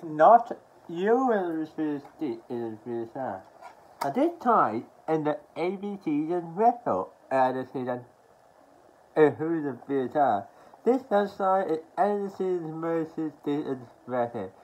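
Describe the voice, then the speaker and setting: A young adult man from Australia talking haltingly and with mumbled words in a casual show-and-tell to camera at home. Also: on the high side, big pitch swings, darkish tone. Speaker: collector showing his media haul. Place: small furnished room at home, indoors. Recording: dull lo-fi sound with background noise.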